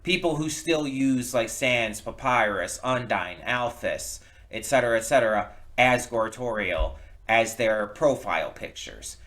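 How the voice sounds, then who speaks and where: A man giving casual, unscripted reaction commentary, thinking aloud in a reflective, halting way and often repeating words. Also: medium pitch, animated, a bit bright. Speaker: reaction video host. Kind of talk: casual unscripted commentary, post-viewing thoughts.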